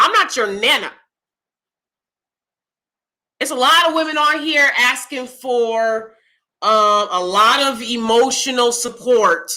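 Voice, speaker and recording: A woman with a loud, clear voice giving her opinions in a casual vlog, blunt and emphatic as she speaks straight to viewers. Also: a little high. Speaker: YouTube commentator.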